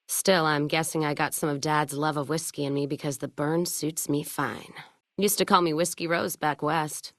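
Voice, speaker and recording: A woman's voice: low-pitched, vocal fry, dry recording, clean studio sound. A woman playing a tough, hard-drinking, world-weary caravan owner in a video game, blunt, sardonic and foul-mouthed. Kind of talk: video game character dialogue.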